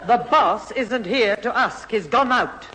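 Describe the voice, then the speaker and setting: A woman playing an exasperated, sharp-tongued mother in a radio sitcom. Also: a little high, unhurried. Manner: scolding, put-upon. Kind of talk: 1970s radio sitcom acting. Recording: reverberant old radio recording.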